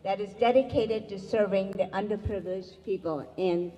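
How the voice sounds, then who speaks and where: A woman whose native language is Urdu, speaking as an educator and emcee at a community event, giving a measured, formal welcoming speech to an audience. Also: medium pitch, dark tone, muffled recording, a little hiss or hum. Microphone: distant room mic. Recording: echoey hall, voice far from mic.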